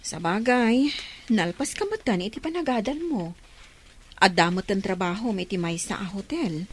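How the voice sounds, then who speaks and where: A woman's voice: medium pitch, expressive, moderate pace, slightly rough. A woman playing a nosy, reactive older sister in audio drama dialogue. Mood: reasoning.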